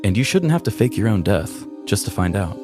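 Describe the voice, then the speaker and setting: A man's voice: on the low side, big pitch swings, fairly quick, over music. A man co-hosting a podcast, speaking in the style of a storyteller and casual talker. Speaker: podcast co-host. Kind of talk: scripted true-crime storytelling and casual co-host chat.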